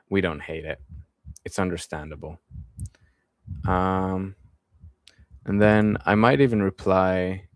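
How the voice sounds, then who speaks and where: A man in his twenties streaming live, reading viewers' comments aloud and answering them in a relaxed, friendly way before drifting back to his topic. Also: sits low, dry recording, studio quality. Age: 20s. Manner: relaxed, friendly, often trails off with fillers. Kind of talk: casual live-stream chat.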